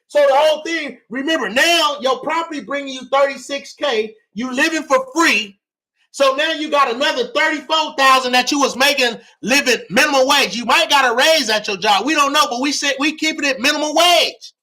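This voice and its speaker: A man giving a fired-up livestream lecture, loud and often yelling, repeating phrases and asking the audience to answer, his voice strained from shouting. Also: very high voice, normal speed, rough recording. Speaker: livestream real estate teacher.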